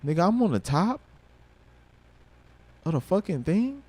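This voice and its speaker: A man in his thirties livestreaming, a rapper talking casually and swearing freely, mixing thoughtful musing with loud excitement. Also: sits high, unhurried, dry recording. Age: 30s. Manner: reflective, then loud and excited with laughter and swearing.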